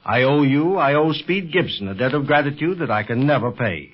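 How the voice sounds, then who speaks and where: A man acting a gracious, dignified doctor from a 1930s radio drama. His voice is slightly husky and moves expressively, with formal phrasing. The recording is dull and aged. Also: medium pitch, lively intonation, brisk, close to an everyday voice. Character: courteous, dignified male doctor and diplomat, a father.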